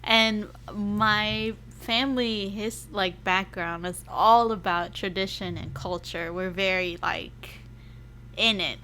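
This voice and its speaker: A woman's voice: mid-range voice, fairly even intonation, slow pace, bright, forceful tone. Woman from Singapore chatting casually, noisy recording. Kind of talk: casual relationship chat.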